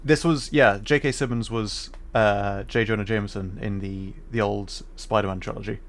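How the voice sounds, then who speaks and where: A man's voice: on the low side, steady tone, moderate pace. A man livestreaming a video game, giving casual, rambling commentary with hesitant, amused asides. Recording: noisy stream with game ambience.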